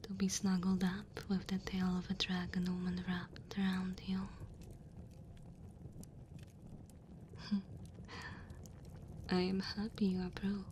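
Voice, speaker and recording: Slow, gentle dragon woman, acted by a woman. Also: on the low side, close to an everyday voice. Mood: tender, intimate. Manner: slow, tender, breathy murmuring. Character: dominant but caring, lonely female dragon. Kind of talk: ASMR roleplay, intimate and soft. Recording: steady ambient fire and cave noise. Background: crackling fire ambience.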